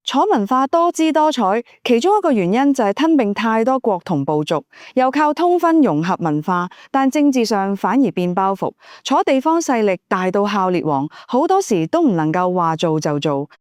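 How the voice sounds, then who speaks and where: A woman voicing a learned, composed young noblewoman in a Cantonese audiobook, explaining things in a measured, didactic way. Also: medium pitch, darkish tone, no room sound, studio quality, natural voice. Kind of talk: audiobook character dialogue.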